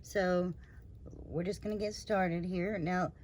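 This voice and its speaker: A woman hosting her own review channel, slightly hoarse after surgery, talking in a rambling, chatty way with lots of fillers and trailing asides. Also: fairly low pitch, steady tone, slow pace, a little mellow, slight background noise. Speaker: candle review channel host. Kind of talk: casual product review vlog.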